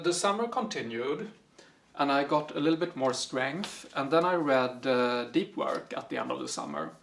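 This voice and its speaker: A man whose first language is Swedish, talking casually through the books he read, with frequent pauses and fillers. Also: a little high, gravelly, a little hiss or hum. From Sweden. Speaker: book vlogger. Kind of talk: casual book review vlog.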